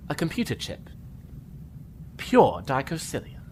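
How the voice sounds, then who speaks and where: A man's voice: mid-range voice, huge pitch swings, a little slow, a little hiss or hum. A man playing a sly Starfleet engineer. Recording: music score behind.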